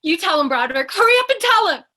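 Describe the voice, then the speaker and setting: A woman playing an excitable, melodramatic young newspaper reporter in old-time radio style, with urgent, breathless exclamations. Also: very high-pitched, fast talker, heavily acted. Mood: frantic. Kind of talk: old-time radio comedy thriller acting.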